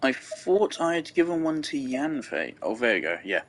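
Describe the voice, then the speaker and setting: A man's voice: medium pitch, very animated, normal speed, background noise. A man giving casual gameplay commentary, rambling and thinking aloud as he goes. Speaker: game streamer.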